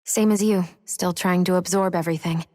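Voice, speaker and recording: A woman's voice: lowish voice, fairly even intonation, fairly quick, a little mellow, lightly acted. Woman voicing a reflective heroine in long reverb. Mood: wistful, tender. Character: composed, reflective young heroine, softly sentimental. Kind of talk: video game cutscene voice acting. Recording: long reverberant hall sound.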